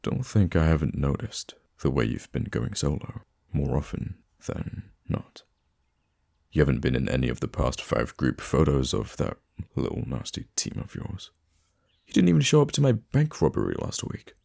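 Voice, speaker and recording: A man playing a theatrical, easily flustered supervillain with a soft spot for his hero nemesis, in an ASMR roleplay monologue with a gravelly voice. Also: very deep voice, exaggerated voice. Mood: concerned, pointed. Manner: dramatic, flustered, teasing, stammering when thrown off.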